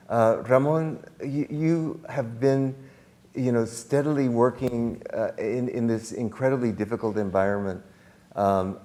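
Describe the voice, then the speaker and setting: A man's voice: mid-range voice, dark tone. A man moderating a panel, interviewing guests in a conversational style.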